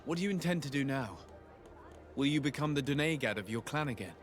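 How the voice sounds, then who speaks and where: An actor playing a sincere, reserved young noble diplomat in a video game, speaking politely and formally. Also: male voice, medium pitch, expressive, fast talker, faint music behind, natural voice. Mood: courteous. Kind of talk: video game dialogue acting.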